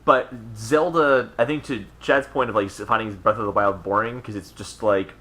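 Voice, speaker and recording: A man's voice: lowish voice, normal speed, sharp tone. A man co-hosting a casual podcast conversation, talking in an enthusiastic, rambling way. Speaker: podcast co-host. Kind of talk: casual chat about games and movies.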